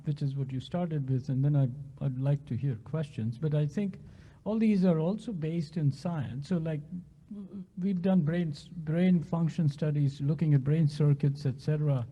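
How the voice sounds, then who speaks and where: A man's voice: on the high side, moderate pace, mellow, husky, low-quality recording. A man speaking as a physician researcher on a panel, explaining earnestly and often restarting his sentences. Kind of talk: panel discussion answer.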